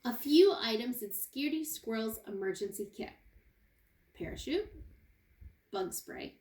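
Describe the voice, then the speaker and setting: A woman teacher reading a picture book aloud to young children, expressively, pausing for asides and questions to her listeners. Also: fairly high pitch, lively intonation, a little mellow. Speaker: kindergarten teacher. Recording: roomy, echoey indoor sound.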